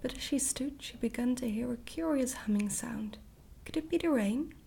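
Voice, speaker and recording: A woman gently reading a storybook aloud in a hushed, whispered ASMR style. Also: on the high side, normal speed, vocal fry, a little hiss or hum. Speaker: storybook reader, ASMR creator.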